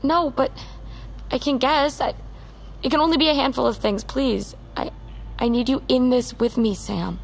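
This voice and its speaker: A woman's voice: high-pitched, normal speed, vocal fry, slight background noise. An actress playing a headstrong, pleading young American woman, stubborn and wounded, in a naturalistic audio drama. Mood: anxious, pleading. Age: young adult.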